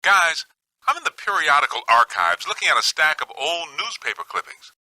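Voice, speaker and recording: Streetwise ghost hunter, higher-pitched, very dynamic intonation, wry, colloquial, video game dialogue. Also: male voice, sing-song, moderate pace, pushed and bright, no echo, heavily acted, low-quality recording. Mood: informative, casual. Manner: colloquial, wry, plain-spoken. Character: streetwise, wisecracking male ghost-hunting team member.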